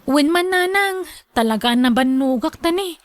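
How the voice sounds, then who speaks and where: Casting: gentle student daughter played by a woman, young, respectful, high voice, radio drama. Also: lively intonation, normal speed, no room sound. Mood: weary, affectionate. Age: young adult. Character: dutiful student daughter home from the city.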